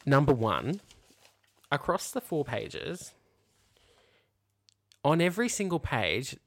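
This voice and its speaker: A man's voice: on the high side, very animated, slow, fairly bright tone, no echo. A man co-hosting a podcast in casual banter, chipping in with wry, dry remarks. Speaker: podcast co-host.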